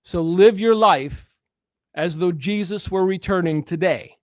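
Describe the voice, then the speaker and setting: High-voiced man preaching on air. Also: a little slow, a little mellow, dry recording, clean studio sound. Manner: earnest, preachy, with stammered restarts. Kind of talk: preaching commentary.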